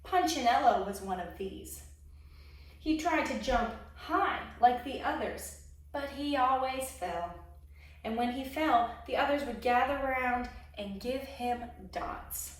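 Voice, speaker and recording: A woman reading a children's story aloud expressively, pausing to put questions to young listeners. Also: high-pitched, animated. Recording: very echoey room, distant microphone.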